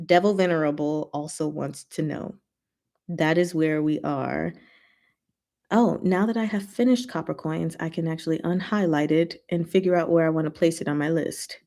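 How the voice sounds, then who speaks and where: A woman hosting a livestream, chatting casually and playfully with her viewers in an animated, slangy way. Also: low voice, measured pace. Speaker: livestream host and book reader.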